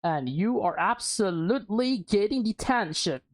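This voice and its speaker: Woman reading as a stern mother scolding, slow and firm. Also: mid-range voice, lively intonation. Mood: angry, stern. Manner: firm, reproachful, threatening.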